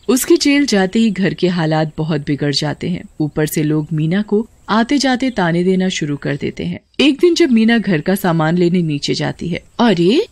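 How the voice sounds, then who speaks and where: Low-voiced woman narrating a story. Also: dry recording. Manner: even, steady storytelling. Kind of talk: scripted narration of a horror story.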